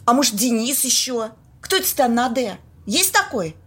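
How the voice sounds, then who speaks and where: A woman acting a bossy, streetwise young woman in an amateur audio play, husky, slangy and blunt. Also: fairly high pitch, big pitch swings, fairly bright tone, full character voice. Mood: excited. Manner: slangy, blunt, drawn-out exclamations.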